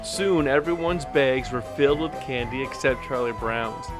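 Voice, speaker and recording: A storybook narrator with a mid-range voice reads a scripted children's tale. Music plays clearly underneath the read-along. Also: mid-range voice, moderate pace.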